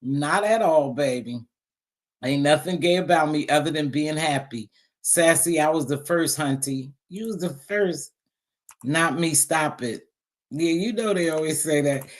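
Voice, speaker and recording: A middle-aged woman with a deep voice hosting a casual live stream, talking bluntly and sassily to her viewers. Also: measured pace. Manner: blunt, sassy, talks straight to viewers. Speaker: live stream host reading chat.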